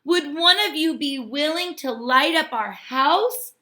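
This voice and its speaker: A woman voicing a friendly, eager male hermit crab from a storybook, with a polite, emphatic, drawn-out delivery. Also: sits high, animated, sharp tone, voice pushed up, full character voice. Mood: delighted, polite.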